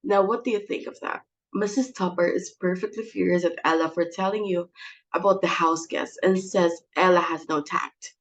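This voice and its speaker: A woman's voice: lowish voice, fairly even intonation, normal speed, close to an everyday voice, poor audio. A woman playing an exasperated, imperious society mother. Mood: frantic despair. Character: domineering, melodramatic middle-aged society hostess and mother. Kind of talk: dramatic reading of a stage play.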